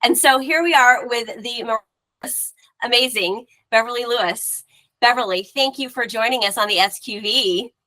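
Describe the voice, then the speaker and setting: A woman's voice: fairly high pitch, moderate pace, pushed and bright. A woman hosting a podcast interview, effusive and chatty, with frequent fillers. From Florida Panhandle. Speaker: podcast host. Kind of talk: casual interview chat.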